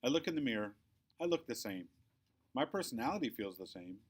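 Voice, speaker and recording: Male reader as sullen, defiant kid narrator for a children's storybook. Expressive, aggrieved, mid-pitched. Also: a little fast. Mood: wistful. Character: sullen young boy narrating his own hurts, defiant. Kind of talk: children's novel read aloud, first person.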